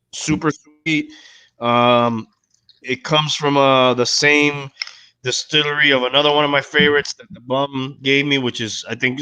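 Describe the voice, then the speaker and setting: Bright, forceful man, close and dry. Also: mid-range voice, smooth, no room sound, low-quality recording. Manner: blunt, profane, slangy. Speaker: podcast co-host. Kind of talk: casual sports banter.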